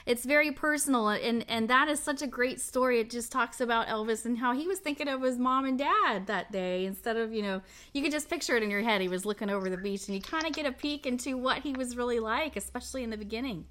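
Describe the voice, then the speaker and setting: A woman's voice: on the high side, quick pace, hardly any pauses, fairly bright tone. A woman hosting a podcast, introducing the show and interviewing as its founder. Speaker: podcast host and network founder.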